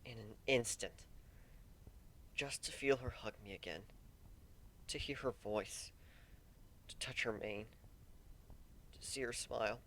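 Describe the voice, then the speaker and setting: A man voicing a timid, self-loathing, grieving pegasus pony for a fan fiction reading, with halting, stammering delivery. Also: medium pitch, a bit bright, a little hiss or hum. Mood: longing.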